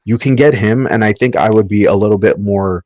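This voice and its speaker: A man's voice: fairly low pitch, brisk, darkish tone, no room sound. A man speaking as a podcast panelist in a casual discussion, opinionated and often tagging his points with a question to seek agreement.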